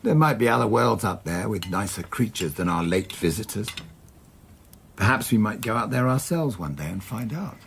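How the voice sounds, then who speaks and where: An actor playing a genial, hopeful, courteous doctor in a period radio drama, in a gently musing manner. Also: male voice, fairly low pitch, lively intonation, moderate pace, gravelly, a little hiss or hum.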